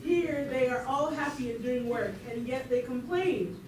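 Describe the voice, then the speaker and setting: A woman's voice: high voice, unhurried. A woman playing a whining, self-pitying colonial planter in an amateur comic puppet show. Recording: echoey distant recording with clear noise.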